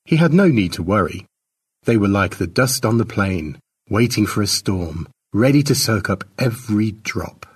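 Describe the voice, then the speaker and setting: A man narrating a short story as an expressive audiobook reading. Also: fairly low pitch, normal speed, slightly rough, distant mic.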